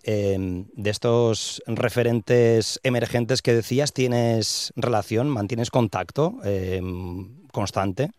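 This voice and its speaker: A man asking conversational interview questions, pausing and hesitating as he phrases them. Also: on the low side, darkish tone, no room sound, clean studio sound. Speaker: radio interviewer.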